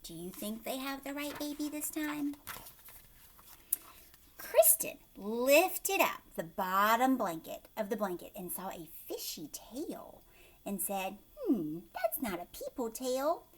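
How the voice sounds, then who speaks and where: Animated high-voiced woman reading to children. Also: slow pace. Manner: animated, questioning, emphatic. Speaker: host of children's read-aloud, teacher. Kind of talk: expressive storybook narration with asides to children.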